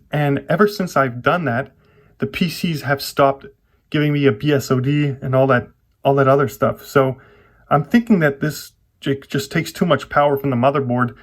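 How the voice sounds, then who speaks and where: Male tech vlogger, medium-pitched voice, informal hands-on commentary, halting delivery, frequent self-corrections. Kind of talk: casual hands-on PC build commentary.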